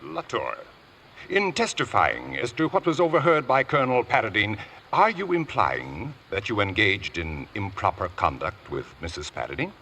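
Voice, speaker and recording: Swooping, sharp prosecutor. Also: male voice, on the low side, sing-song, moderate pace, full character voice. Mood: probing. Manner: crisp, formal, probing. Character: brisk, formal male Crown prosecutor cross-examining. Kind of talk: 1940s-50s radio courtroom drama acting. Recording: faintly noisy vintage radio recording.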